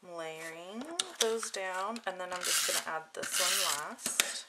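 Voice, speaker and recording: A woman's voice: fairly low pitch, unhurried. A woman casually narrating a hands-on craft demonstration as its host, with informal, chatty phrasing. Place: indoor craft desk. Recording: clear background noise with clicking.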